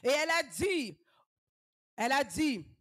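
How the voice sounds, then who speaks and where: A woman evangelist, preaching a sermon fervently, dropping to hushed whispers and rising to shouted exhortations. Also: sits high, big pitch swings, measured pace.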